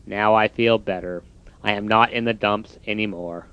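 A man's voice: fairly even intonation, smooth, dull audio, natural voice. A man doing Toad, a sulky lazy toad. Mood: contented. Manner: low, slow and sulky. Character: lazy grumbling male toad, gloomy then relieved.

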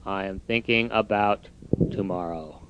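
A man voicing a lazy, grumbling male toad in a storybook reading, speaking low, slow and sulky. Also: highs cut off, noisy background.

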